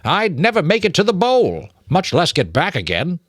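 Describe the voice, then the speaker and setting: A man's voice: high-pitched, wildly swooping pitch, normal speed, fairly bright tone, dry recording, studio quality, voice pushed up, heavily acted. A man voicing a frustrated, stubborn, injured male mouse who complains emphatically in a storybook reading. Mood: frustrated, emphatic. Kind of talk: dramatic audiobook reading of a children's novel.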